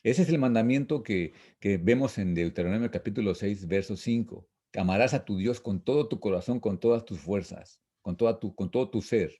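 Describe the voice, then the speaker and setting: A man teaching an informal Bible lesson, reading and explaining verses in a repetitive, halting, didactic way. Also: on the low side, mellow. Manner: didactic, repetitive, halting with frequent false starts.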